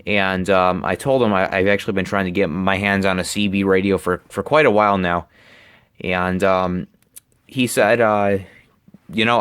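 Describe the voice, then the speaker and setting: A young man with a fairly low voice presents in a casual vlog style. He speaks haltingly, doubling back on words. The sound is close and very dry, recorded in a bedroom on a handheld camera. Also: a bit bright, clear, resonant tone, no echo. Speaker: hobbyist presenter. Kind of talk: casual product show-and-tell vlog. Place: bedroom, indoors. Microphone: handheld camera, close behind it.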